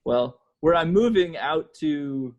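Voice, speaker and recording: A man's voice: on the high side, expressive, takes it slowly, darkish tone, muffled recording. A man co-hosting a comedy podcast, chatting casually and joking with his friends. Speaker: podcast co-host.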